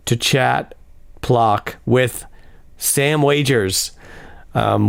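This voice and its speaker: Hoarse podcast host with a gravelly voice. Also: male voice, medium pitch, dry recording, studio quality. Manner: upbeat, chatty announcer delivery. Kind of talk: casual podcast chat.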